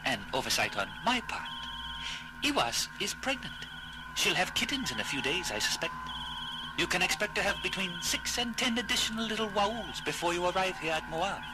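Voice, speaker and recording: Alien ambassador: high voice, animated, courteous and formal, very loud music underneath, audio drama. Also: male voice, moderate pace, poor audio. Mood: courteous, pleased. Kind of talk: science fiction audio drama acting.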